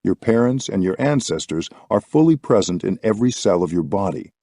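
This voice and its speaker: A man reading a scripted spiritual audiobook with measured, deliberate phrasing. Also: lowish voice, animated, mellow, dry recording, studio quality. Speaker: audiobook reader.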